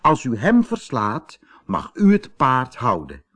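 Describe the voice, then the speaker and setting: A man's voice: mid-range voice, huge pitch swings, slow pace, a bit bright, full character voice. A man voicing a plucky, cunning young orphan boy in a fairy-tale audiobook, speaking with polite, cheeky bluff. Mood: sly, polite.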